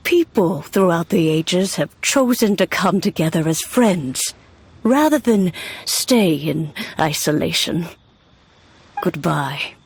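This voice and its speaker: An actress playing a gentle, wise woman storyteller in a video game, telling legends in a measured, solemn way. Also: lowish voice, a little hiss or hum.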